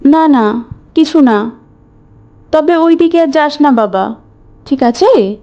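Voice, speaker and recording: An anxious, protective village aunt in a cartoon drama, voiced by a woman. Her voice is high and mellow, and she speaks slowly as she frets and gently scolds. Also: lively intonation, dull audio, exaggerated voice. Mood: alarmed concern.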